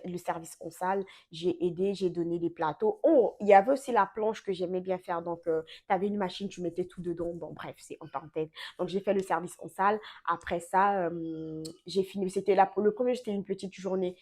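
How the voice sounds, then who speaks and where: Young woman vlogging, french not her first language, warm mellow tone, lots of euh and little restarts. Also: mid-range voice. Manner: chatty, full of fillers and self-corrections. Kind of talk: casual storytelling to camera.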